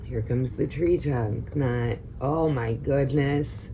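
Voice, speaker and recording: Very low-voiced woman reading a story. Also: slow, rough recording. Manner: expressive character voices with informal commentary. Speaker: storyteller, teaching assistant. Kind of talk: spontaneous read-aloud of a children's picture book with asides. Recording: noticeable background noise.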